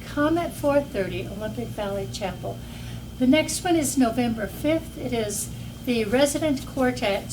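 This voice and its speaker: Soft-voiced woman organizer, a bit high, heard from a distance over room noise. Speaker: nonprofit organizer. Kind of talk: community announcement. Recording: distant mic, noisy room.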